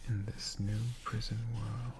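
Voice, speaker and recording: A man telling a story in a hushed ASMR whisper, in short halting phrases with long pauses between them. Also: fairly low pitch, flat delivery, noisy background. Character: friendly storytelling dragon.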